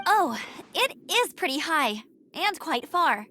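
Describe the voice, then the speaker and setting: A woman's voice: sits high, very animated, slow pace, sharp tone, a little hiss or hum, full character voice. A woman voicing a plucky, bold teenage girl knight scout in a video game, spirited and emphatic. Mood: frustrated.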